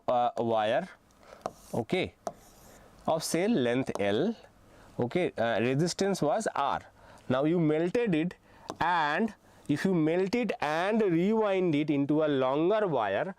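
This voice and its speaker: A young male physics teacher gives a live online class for exam preparation. His voice sits a little high for a man. He works through each point step by step, hesitating often and checking in with the class. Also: darkish tone. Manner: frequent hesitations with uh and um.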